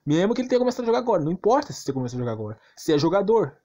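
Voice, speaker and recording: A man's voice: high voice, big pitch swings, rapid-fire, warm tone. A man with a Brazilian accent giving informal game commentary, arguing insistently and repeating himself with plenty of slang. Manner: argumentative, insistent, repetitive, slangy. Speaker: gaming YouTuber.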